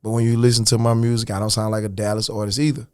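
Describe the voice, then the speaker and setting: A man talking casually as a rapper guest on a podcast, frank and profane, with loose restarts and a relaxed, unhurried way of explaining himself. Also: on the low side, no echo, studio quality. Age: adult, older than his early years. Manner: laid-back, frank, profane, restarts and "you know what I'm saying".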